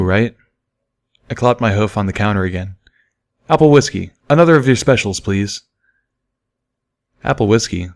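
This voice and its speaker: A man reading scripted first-person fiction as an audiobook narrator. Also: on the low side. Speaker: audiobook reader.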